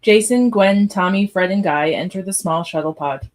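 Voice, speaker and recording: A woman's voice: medium pitch, moderate pace, darkish tone. A woman reading stage directions as the narrator of a live script read.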